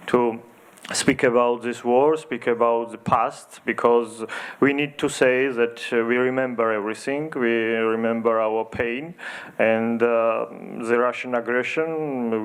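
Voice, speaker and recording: A man with a Georgian accent and a smooth, resonant voice speaks in English. He pauses often and fills the gaps, and he stays earnest as he answers questions at a festival panel. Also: medium pitch. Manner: hesitant, many fillers, earnest. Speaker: theater director. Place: festival discussion hall.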